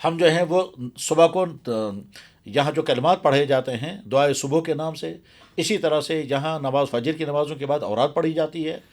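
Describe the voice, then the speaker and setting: Guy cleric doing a religious explainer, bit higher pitched, earnest and emphatic, repeats himself for stress. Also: normal speed. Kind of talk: explanatory religious talk in an interview.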